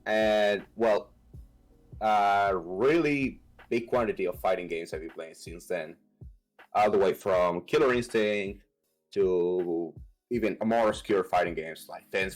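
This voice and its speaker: A young man from Venezuela with a Venezuelan Spanish accent, answering interview questions about his gaming in a halting way, with many fillers and restarts. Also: sits low, soft background music, low-quality recording. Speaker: competitive fighting game player.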